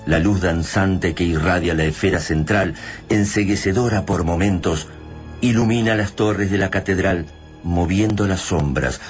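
A man with an Argentine accent narrating a science fiction radio drama in a solemn, measured, dramatic way. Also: low voice. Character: solemn male narrator of an alien invasion tale. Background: music.

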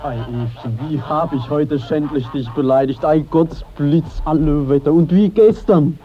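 A man acts a young peasant lad in an old radio play. His voice is fairly high, his delivery ardent and simple-hearted. The recording sounds dull and dated. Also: unhurried, natural voice. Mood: contrite, tender. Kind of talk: 1950s German radio stage-classic acting.

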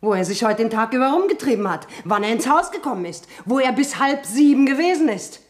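An actress playing a bitter, spiteful sister in a radio crime play, sharp-tongued, insistent and scornful. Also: female voice, on the high side, lively intonation, brisk, close to an everyday voice. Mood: accusing.

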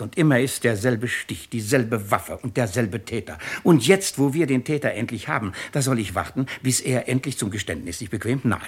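A man playing a stern, sardonic royal judge in a 1950s German radio drama, speaking with pompous, ironic, forceful declamation. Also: mid-range voice, breathy edge.

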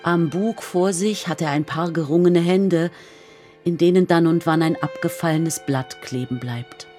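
An actress playing a composed, observant storyteller, narrating a poetic radio drama in a measured literary manner. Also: female voice, lowish voice, a little mellow, natural voice. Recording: studio radio drama with music. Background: prominent music with strings.

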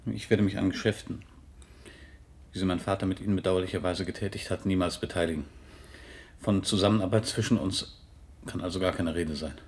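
Radio drama lead: principled company director, very low register, defiant and formal. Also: male voice, steady tone, a little fast, pauses often, darkish tone. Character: proud, principled male company director and heir. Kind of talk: German radio crime drama acting.

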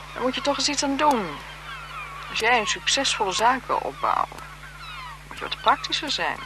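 Haughty, secretly seductive noblewoman, poised and evasive, lively intonation, vintage radio drama with noisy sound. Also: on the high side. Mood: irritated, imperious. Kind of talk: 1970s Dutch radio drama acting.

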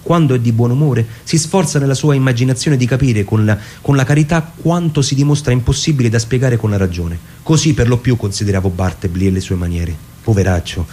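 A man performing a dramatic scripted narration in a theatrical, musing manner, with deliberate pauses. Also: mid-range voice, warm tone, a little hiss or hum. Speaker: performer reading a literary narration. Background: plucked guitar music.

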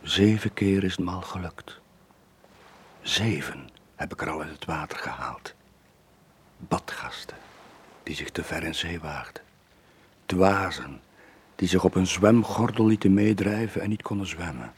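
A haunted older ex-sailor in a Flemish radio drama. His voice sits very low and is thick with husk and breath. He sounds brooding and worn by sleepless guilt. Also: a little slow, long pauses, husky, full character voice. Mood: bitter, resolute. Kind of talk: 1980s radio drama acting.

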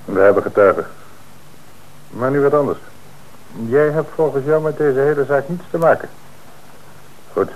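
An actor playing a stern, sceptical police inspector, questioning methodically and insistently in a Dutch radio drama. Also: male voice, medium pitch, normal speed, pauses often, phone-quality sound, close to an everyday voice.

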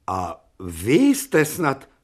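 A man's voice: medium pitch, huge pitch swings. A man playing an irritable, refined older professor in a radio drama, ironically polite and exasperated. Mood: ironic.

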